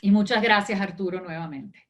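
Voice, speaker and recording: A woman writer on an online panel, speaking reflectively with halting pauses and fillers. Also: mid-range voice. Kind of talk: reflective discussion.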